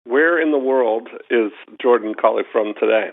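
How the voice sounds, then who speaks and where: A gravelly-voiced man hosts a podcast interview over a call, speaking in an easygoing, reassuring way. His pitch is middling. The sound comes through thin and tinny, like a phone line. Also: normal speed. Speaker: podcast host.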